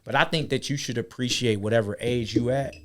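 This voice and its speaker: A man's voice: medium pitch, fairly quick, a bit bright. A man in his thirties hosting a casual podcast, joking and telling stories about his wife. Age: 30s. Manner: loose, joking, storytelling. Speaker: podcast host. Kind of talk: casual raunchy podcast banter. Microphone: camera audio.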